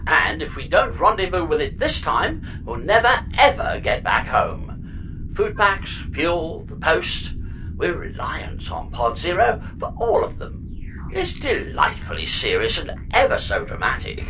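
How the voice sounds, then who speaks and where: Chirpy talking space pod, acted by a woman, whimsical sci-fi comedy, low-pitched for a woman, wildly animated melody, noisy ambience. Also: measured pace, full character voice. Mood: melodramatic. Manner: whimsical, flippant, hammy relish. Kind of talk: scripted audio sci-fi comedy acting.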